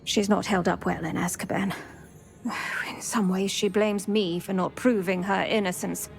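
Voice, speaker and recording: An actress playing an older retired Auror, formal and proper in manner. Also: female voice, mid-range voice, steady tone, a little slow, gravelly. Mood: brisk. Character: retired older woman Auror, stern but kindly. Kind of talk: video game voice acting. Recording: game audio with music behind.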